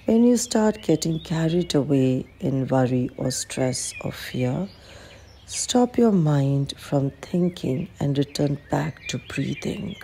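Slow, low-voiced woman guiding a meditation. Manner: calm, gentle, measured. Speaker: clinical psychologist guiding a meditation. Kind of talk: guided meditation, instructional narration. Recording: faint background noise with birdsong.

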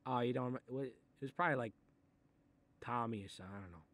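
A man playing a gruff, sarcastic Italian-American mob boss in a comic monologue, exasperated and muttering threats as he reads a picture book aloud. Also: medium pitch, quick pace, fairly bright tone, no room sound, lightly acted. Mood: agitated, nostalgic. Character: short-tempered, sarcastic Italian mob boss babysitting a kid. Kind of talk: comic improvised character monologue.

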